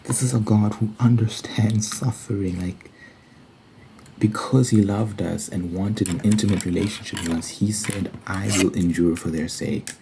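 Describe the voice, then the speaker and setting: A man's voice: lowish voice, steady tone, moderate pace, background noise. A man giving an informal, earnest Bible study monologue in an ASMR style, repeating phrases for emphasis.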